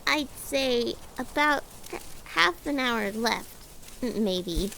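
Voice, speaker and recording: A woman's voice: fairly high pitch, animated, takes it slowly, creaky, sharp tone, exaggerated voice. A woman voicing a nervous, hesitant female scientist creature who stammers, in an audio drama with noisy ambience. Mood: uneasy. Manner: stammering, unsure. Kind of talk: fan audio drama acting.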